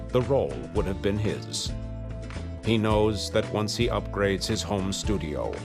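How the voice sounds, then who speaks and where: A man narrates a mock-grand parody commercial with deadpan seriousness. His voice is fairly low with sweeping intonation, and plucked guitar music plays clearly behind him. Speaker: commercial announcer.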